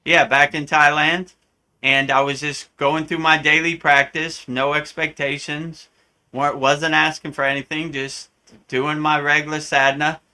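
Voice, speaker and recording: A man from the United States, a spiritual teacher telling a story in a live Q&A, animated and often acting out the voices he quotes. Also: fairly high pitch, unhurried, smooth. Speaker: spiritual teacher answering questions.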